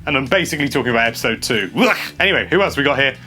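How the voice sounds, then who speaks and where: A young man hosting a casual gameplay commentary, chatty and excitable, stumbling over his words and laughing a lot. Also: mid-range voice, racing, soft background music. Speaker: games journalist host. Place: small indoor room.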